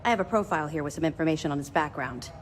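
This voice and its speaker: A woman's voice: low-pitched, rapid-fire, slight background noise, lightly acted. A woman playing a cautious, dutiful space navy lieutenant, speaking formally and precisely in a scripted video game. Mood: informative. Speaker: military officer character in a space game.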